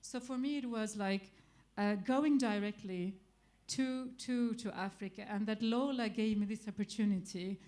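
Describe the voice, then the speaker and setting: A middle-aged woman with an Arabic accent addresses an audience in English, her third language. She pauses often, repeats words and gropes for phrasing. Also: mid-range voice, darkish tone. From Iraq. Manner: hesitant, many fillers and restarts. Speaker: festival guest speaker. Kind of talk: spontaneous public talk.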